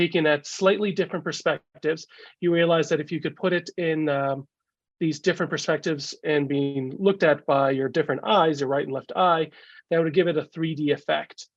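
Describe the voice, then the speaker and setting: A man with a cold and a gravelly voice giving a casual slideshow talk as a guest speaker, halting with many fillers, over a video call. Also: a little high, dry recording. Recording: dull lo-fi video call audio.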